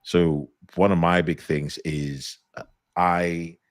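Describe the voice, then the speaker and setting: A middle-aged man hosting a live conversation, talking loosely with a deep voice, many fillers and restarts. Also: level tone, slow, no echo, studio quality. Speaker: host.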